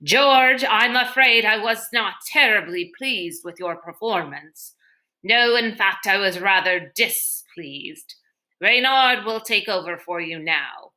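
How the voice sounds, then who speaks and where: A woman's voice: medium pitch, takes it slowly, sharp tone. Woman playing an imperious, irritable male villain boss. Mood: displeased. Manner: formal, clipped, sarcastic.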